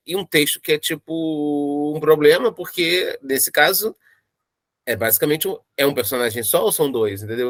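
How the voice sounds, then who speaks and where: A Brazilian man with a fairly high, bright and forceful voice, recorded dry and close. He explains in an unscripted, interview style, restarting and repeating words to drive his thought along. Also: dry recording. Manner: halting, repetitive, emphatic explaining. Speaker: audio drama writer.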